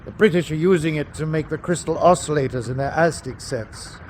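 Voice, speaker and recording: Man acting earnest high-voiced U-boat commander. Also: a little fast, darkish tone, a little hiss or hum, natural voice. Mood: uneasy, subdued. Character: troubled, dutiful German U-boat commander. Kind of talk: wartime radio drama acting.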